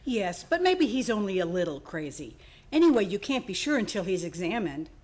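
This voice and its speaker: A woman acting an excitable, dramatic store employee in a radio play, exclamatory and gushing. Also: mid-range voice, brisk. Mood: brisk, exasperated.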